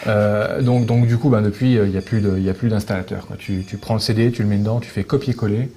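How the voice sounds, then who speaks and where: A man giving a casual interview as a guest, speaking in a hesitant, halting way and often restarting his phrases. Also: lowish voice, steady tone, dark tone. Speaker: video game translator, interview guest. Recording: clearly noisy background ambience.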